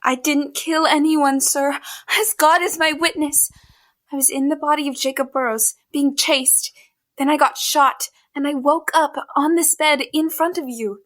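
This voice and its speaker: A woman's voice: sits high, steady tone, unhurried, fairly bright tone. An actress playing a frightened, bewildered young woman on the run in an audio drama, speaking in an earnest, hesitant, pleading way.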